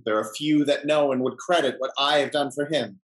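A man acts out a smooth hypocrite of a gentleman in a theatrical period comedy. He is formal and pompous, with polished false courtesy and a medium-pitched voice. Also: steady tone, a little fast, lightly acted, poor audio. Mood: unctuous, regretful. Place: at home on a video call.

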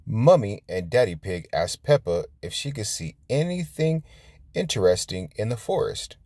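A man reading a children's storybook aloud as a storytime host, expressively and with drawn-out words. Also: fairly low pitch, sing-song, darkish tone, no room sound. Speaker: storytime channel host and reader.

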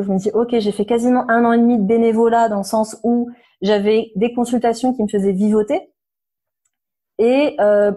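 A woman in her thirties speaks as an interview guest, her voice slightly hoarse yet mellow and warm. She sits fairly high in pitch and talks frankly and animatedly, full of little fillers and restarts. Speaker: interview guest, nutrition therapist. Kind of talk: casual conversational interview.

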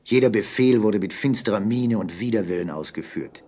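A man with a husky voice narrating an adventure radio play as scripted storytelling. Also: lowish voice, animated, normal speed, dark tone, slight background noise.

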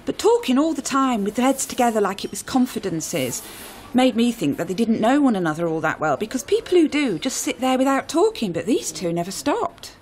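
An actress playing a chatty, observant witness in a radio drama, talking in an informal, gossipy way. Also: female voice, a little high, big pitch swings, fairly quick, darkish tone. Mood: chatty, recalling. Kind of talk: naturalistic radio drama acting.

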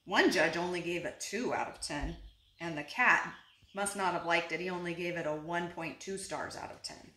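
Bright, expressive woman reading to children. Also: fairly low pitch. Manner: expressive, with drawn-out words and asides to young listeners. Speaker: children's story reader and teacher. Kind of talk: read-aloud picture book narration for young children. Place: small living room, indoors on a couch. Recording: roomy echo.

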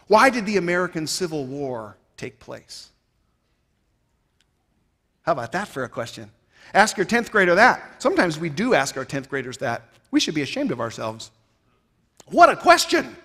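A man in his thirties giving a keynote speech on stage, emphatic and rhetorical, swinging between loud and quiet phrases, on a headset mic. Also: high voice. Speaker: keynote speaker. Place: stage of a large conference hall. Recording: reverberant large hall.

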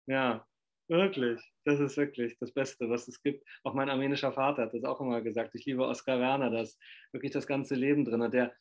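A man's voice: mid-range voice, fairly even intonation, fairly quick. A man in his fifties interviewing over a video call from a small room, talking in a rambling, effusive way full of fillers. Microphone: laptop webcam on video call.

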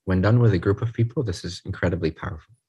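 A man leading a guided group meditation, speaking in a soothing, unhurried way in short phrases with pauses. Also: low-pitched, warm tone, breathy edge, lo-fi sound.

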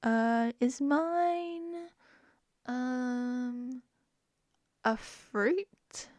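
A woman's voice: sits high, drawn-out words, a little mellow, no echo. A woman with an Australian accent in a close-mic ASMR roleplay as a wife, playful and giggly.

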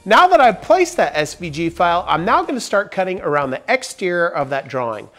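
Upbeat man with a lively voice. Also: sits high, fairly quick, faint music behind. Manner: upbeat, emphatic sentence openers. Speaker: woodworking channel host. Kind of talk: scripted tutorial narration. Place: large workshop with wooden walls. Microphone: lapel mic.

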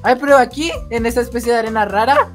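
Un joven con acento mexicano y voz muy alta de tono interpreta un roleplay cómico de Minecraft, con un tono bromista y quejumbroso de broma. De fondo suena música clara todo el tiempo. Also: male voice, extremely high pitch, fast talker. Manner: goofy, mock-whiny, jokey. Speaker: gaming roleplay creator. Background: background music.

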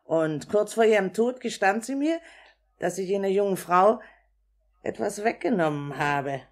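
An actress playing a shrewd, grasping wife in a 1950s German radio drama, measured, firm and sly. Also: female voice, medium pitch, expressive. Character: shrewd, grasping, businesslike married woman.

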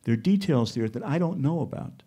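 A man's voice: medium pitch, lively intonation, brisk, warm tone, breathy edge. A man from the United States giving earnest, emphatic advice to film students.

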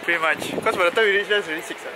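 Male travel vlogger, 20s, Singaporean or Malaysian accent, higher-set voice, casual and slangy, very noisy station-side recording. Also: very fast. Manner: colloquial, with slang particles. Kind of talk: casual chat to camera. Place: outdoors at train stations and streets. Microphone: camera held at arm's length. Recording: noisy outdoor street and station sound.

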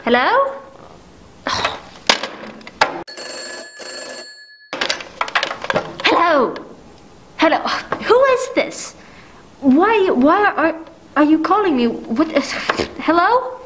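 A woman's voice: extremely high pitch, big pitch swings, slow, pauses often, fairly bright tone, full character voice. A young woman acting a nervous, demanding bedridden wife who stammers fretfully, in a 1940s-style radio thriller play. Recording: noticeable background noise.

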